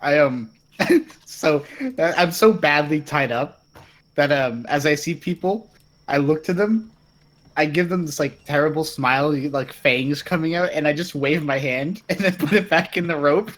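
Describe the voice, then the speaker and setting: A man's voice: a little high, normal speed, a bit bright. A man chatting casually as a player in a tabletop role-playing game.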